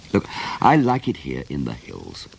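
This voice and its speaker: An actor playing a troubled middle-aged English writer in a radio play, wistful and rueful. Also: male voice, extremely low pitch, huge pitch swings, slow, gravelly, noisy background, heavily acted. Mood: reluctant. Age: 40s.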